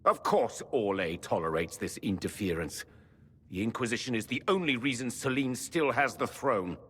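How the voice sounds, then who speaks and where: Indignant husky nobleman in a hall. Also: medium pitch, expressive, normal speed, fairly bright tone. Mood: indignant, accusing. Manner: accusatory, formal oratory. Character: indignant, suspicious nobleman accusing at a council. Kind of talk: dramatic fantasy game acting. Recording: hall reverb.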